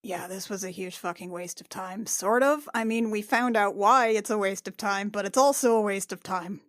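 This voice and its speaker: A woman improvises casually as a player in a tabletop game. She is halting and swears freely, in a middle register with a very dry sound. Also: mid-range voice, dry recording. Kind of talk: casual in-character improvised chat.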